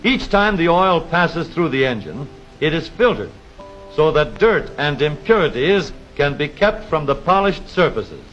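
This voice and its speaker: A man narrating a scripted 1930s educational film in a measured, formal announcer's delivery with precise, explanatory phrasing. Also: on the high side, very animated, fairly bright tone. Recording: dull vintage 1930s film soundtrack, music behind.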